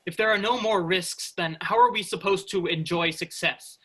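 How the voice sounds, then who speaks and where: A man's voice: high-pitched, moderate pace, fairly bright tone, breathy edge. A man playing a rebellious, cocky spaceship worker who distrusts robots, with a pressing, persuasive manner in a staged play reading. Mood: skeptical, challenging.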